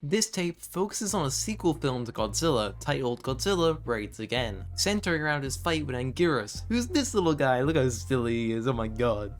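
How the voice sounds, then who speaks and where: A man narrates a scripted video essay at a middling pitch. He tells the story in a measured way and slips into casual, conversational asides. Music plays behind his voice. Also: very animated. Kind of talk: scripted narration, horror series commentary. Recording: background music under voice. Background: background music.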